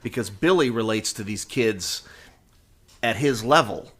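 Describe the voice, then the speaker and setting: A man co-hosting a podcast in casual fan discussion, halting and often repeating words as he thinks. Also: lowish voice, lively intonation, a bit bright, slightly rough. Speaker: podcast co-host.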